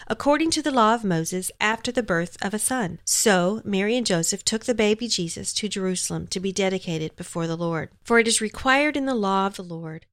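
A woman with a medium-pitched voice co-hosts a devotional program, speaking and reading scripture. The sound is dry, with very little room in it. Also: fairly bright tone, dry recording. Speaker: program co-host.

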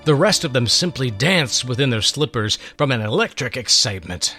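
Animated male storyteller over music. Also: mid-range voice, moderate pace. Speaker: host and storyteller. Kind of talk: dramatic scripted narration.

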